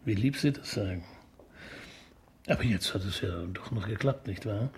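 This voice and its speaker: A man's voice: on the low side, normal speed, slightly rough. A man playing a bumbling, cultured police detective in a radio crime comedy, with a hesitant, wordy way of talking. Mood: courteous, sly. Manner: hesitant stammering, fussy and wordy.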